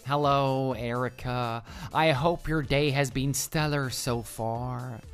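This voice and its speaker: An actor playing a clingy, lovestruck male coworker in a radio drama, with a flowery, gushing manner that turns stammering when flustered. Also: mid-range voice. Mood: eager, hopeful. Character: clingy, lovestruck male office coworker who can't take hints. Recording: voice over background music.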